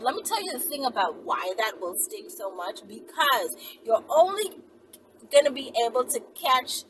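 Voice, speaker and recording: A woman in her thirties giving casual, conversational instructions to camera, explaining step by step with frequent check-in questions. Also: fairly high pitch. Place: inside a car, daytime. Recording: distant mic, loud vehicle and road noise. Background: vehicle ambience.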